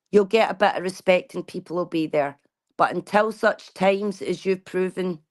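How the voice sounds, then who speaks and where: Blunt, lower-voiced woman in her forties. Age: 40s. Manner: blunt, outspoken, swears freely. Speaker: vlogger. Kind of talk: casual chat.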